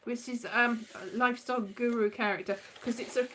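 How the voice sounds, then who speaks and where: A woman's voice: a little high, fairly even intonation, fairly quick, sharp tone, a little hiss or hum. A middle-aged woman from Wales rambling casually in a scatty, digressive way, thinking aloud and muttering asides to herself. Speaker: writer and performer, host.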